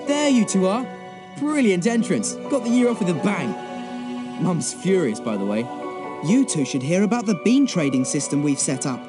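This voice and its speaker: A man's voice: high-pitched, very animated, normal speed, over music. An actor playing a mischievous teenage schoolboy wizard in a video game, with breezy salesman patter. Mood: cheeky.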